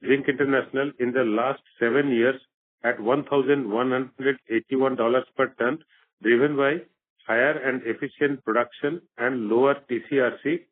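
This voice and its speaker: A man speaking as a company executive, reading a scripted earnings presentation in a formal, measured manner over a conference call. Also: mid-range voice, dry recording. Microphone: phone or conference call line. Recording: tinny phone-like conference call line.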